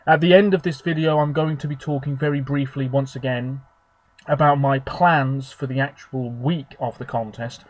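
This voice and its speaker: A man hosting his own video, talking casually and at length about his favourite entries, a little hoarse and husky from a sore throat. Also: medium pitch, fairly even intonation, normal speed. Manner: rambling, chatty, opinionated. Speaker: video host. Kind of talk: casual solo commentary.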